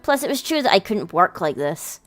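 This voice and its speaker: A woman's voice: medium pitch, very animated, moderate pace, dry recording. A woman reading a visual novel aloud as a let's play host, with casual reactions of her own. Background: game music.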